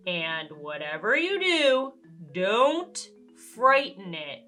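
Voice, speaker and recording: A woman voicing a calm, knowing young outdoorsy boy in a children's storybook, measured and instructive. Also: mid-range voice, soft background music, exaggerated voice. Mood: calm warning.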